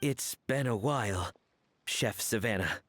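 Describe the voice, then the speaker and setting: An actor playing a mild, hopeful young male chef in a video game, with a gentle, modest, polite manner. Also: on the low side, unhurried, soft background music. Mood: reassuring.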